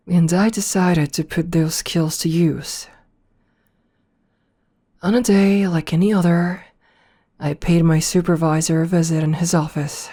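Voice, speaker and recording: A woman performs a seductive, self-assured female CEO with a possessive streak in an ASMR audio drama. Her voice sits low and soft-edged, dark in tone. She unspools her story very slowly and deliberately, like someone used to being obeyed. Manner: slow, deliberate first-person storytelling. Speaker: voice actor.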